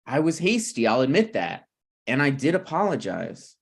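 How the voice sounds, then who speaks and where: A man acts out a glib, self-serving friend in a comedy table read. His intonation is very lively. He talks hesitantly yet stays shamelessly unbothered. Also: medium pitch, moderate pace, vocal fry, breathy edge, full character voice. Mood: glibly pleading.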